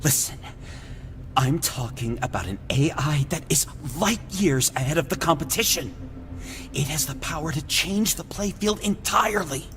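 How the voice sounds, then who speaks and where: An actor playing an earnest, ambitious younger executive in a video game, persuasive and urgent. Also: male voice, fairly high pitch, expressive, normal speed, a bit bright, soft background music. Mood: urgent persuasion. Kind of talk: dramatic video game voice acting.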